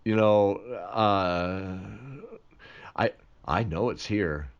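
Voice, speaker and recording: A man's voice: low-pitched, drawn-out words, smooth, lo-fi sound. A man playing an absent-minded, overconfident producer in a comic skit, stammering and bumbling as he talks. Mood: defensive, confident. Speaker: show producer and host. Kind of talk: scripted comic skit, conversational.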